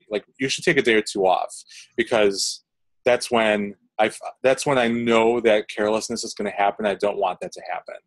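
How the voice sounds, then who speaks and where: A man's voice: mid-range voice, a bit bright. A young man, a composer, answering interview questions in casual talk, rambling with many fillers and restarts.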